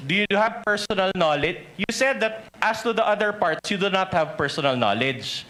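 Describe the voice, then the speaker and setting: A man's voice: high-pitched, lively intonation, moderate pace, bright, forceful tone. A man with a Filipino accent cross-examining as defense counsel in a hearing, pressing and insistent. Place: hearing room. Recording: noisy hearing-room ambience.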